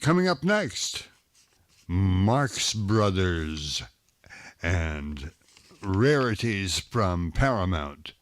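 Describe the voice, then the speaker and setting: A man's voice: sits low, very animated, extremely slow, dry recording. A man presenting radio program continuity between vintage recordings. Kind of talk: slow program continuity announcing. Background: music.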